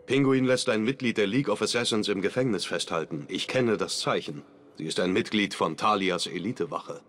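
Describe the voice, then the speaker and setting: A man voicing a grim, stern masked vigilante in a game dub, speaking in a gruff, clipped way. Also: on the low side, brisk, fairly bright tone, natural voice.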